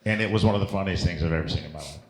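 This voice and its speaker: A male comedian speaks in a fairly low voice, with strong room echo around it. He tells stories in a casual, sweary, conversational way, often laughing through them as he would on a live show. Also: rapid-fire, darkish tone. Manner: casual, profane, laughs while telling stories. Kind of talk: comic storytelling, casual banter. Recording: roomy, echoing live-show sound.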